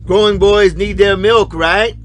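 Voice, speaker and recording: A man's voice: high-pitched, steady tone, a little slow, faint music behind. An actor playing a crude, menacing middle-aged father in an audio play, with swaggering, goading macho talk. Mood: goading, bullying. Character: menacing, crude middle-aged father, estranged husband, needling his son.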